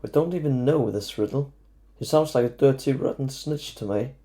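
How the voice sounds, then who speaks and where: A man's voice: medium pitch, normal speed, dark tone, close to an everyday voice. A man playing a blunt, sarcastic teenage schoolboy in an audio drama. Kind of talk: fan audio drama acting.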